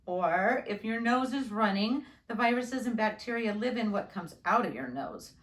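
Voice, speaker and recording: A woman voicing a calm, reassuring father who patiently explains things to his child in a storybook. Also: medium pitch, normal speed, natural voice. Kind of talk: children's storybook read-aloud. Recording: roomy, echoing indoor sound.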